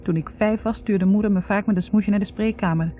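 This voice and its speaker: An actress playing a bitter, reflective young woman academic in a 1960s radio drama, speaking in a halting, confessional way. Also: medium pitch, steady tone, faint music behind, lightly acted. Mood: wistful recollection. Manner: halting, confessional, repeating words. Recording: muffled 1960s radio recording.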